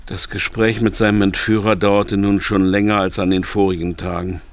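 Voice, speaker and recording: A man's voice: on the low side, little pitch movement, moderate pace, no room sound, lightly acted. An actor as a grave male narrator of a German radio drama, voicing brooding thoughts.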